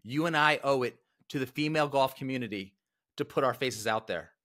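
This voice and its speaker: A man's voice: medium pitch, a little fast, fairly bright tone. A man hosting a golf podcast interview in a brash, jokey, showman-like way. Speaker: podcast host.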